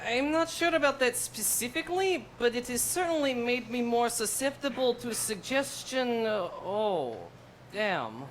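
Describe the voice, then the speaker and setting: Dazed, suggestible Russian space officer, played by a woman, mock accent, very slow and swooping, in a noisy live stage comedy. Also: fairly high pitch, expressive. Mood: confused, compliant. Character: hapless, suggestible male Russian starship officer under mind control. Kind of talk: comic stage musical reading.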